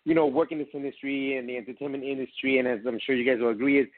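A friendly Miami-raised man talks casually as an interview guest, often stopping and restarting his sentences. His voice sits at a middling pitch. The sound is thin and tinny, as over a phone line. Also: fairly even intonation. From Miami, Cuban American. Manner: friendly, halting, many restarts. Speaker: actor guest. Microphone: phone call.

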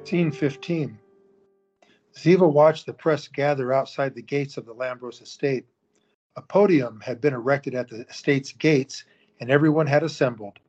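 A man narrates an audio drama in a steady storytelling manner, with his voice at a middle pitch. The recording has a slightly dull, lo-fi sound. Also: normal speed, soft background music.

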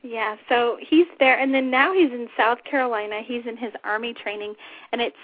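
A woman's voice: fairly high pitch, normal speed, breathy edge, dry recording. A woman with a scratchy voice hosting an unscripted talk show, chatting casually and informally. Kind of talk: unscripted casual radio chat. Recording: tinny phone-line internet radio audio.

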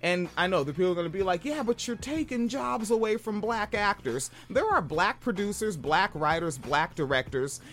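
A man from Washington State with a high-pitched voice, co-hosting a casual podcast, profane and animated, laughing often. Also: normal speed, hardly any pauses. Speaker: podcast co-host.

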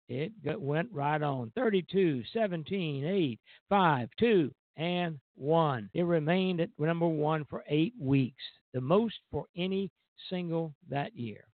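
A male radio host with a creaky, somewhat high-set voice reads music history from notes. He stumbles and corrects himself as he goes. The sound is dry and phone-like, with very narrow bandwidth. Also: no echo. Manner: halting reading with stumbles and self-corrections. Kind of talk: music history commentary, partly read from notes.

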